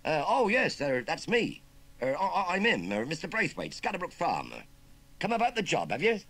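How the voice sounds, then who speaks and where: A bumbling, gruff older farmer, a little flustered, stumbling over his words with a storybook kindness, as a narrator puts him on. His husky voice swings widely up and down. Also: fairly high pitch, wildly swooping pitch, moderate pace, fairly bright tone, pitched above the performer's own voice, full character voice. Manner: hesitant, fumbling. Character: grumpy, kindly older male farmer.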